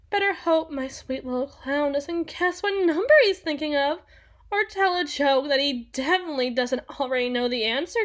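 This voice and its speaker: A woman playing a haughty, possessive young empress in a theatrical ASMR roleplay, speaking in an imperious, mocking manner with dramatic pauses. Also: high-pitched, fairly bright tone.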